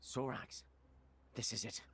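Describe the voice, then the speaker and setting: A man's voice: slow, a bit bright. A man playing a stern, cautious fantasy adventurer in a video game, speaking formally and curtly. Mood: cautious, commanding. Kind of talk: dramatic video game dialogue.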